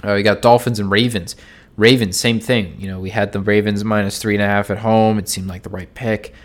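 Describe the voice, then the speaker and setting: A man hosting a sports betting podcast, talking casually through picks while nasal from a cold, low-key with frequent ums and restarts. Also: little pitch movement, a little fast.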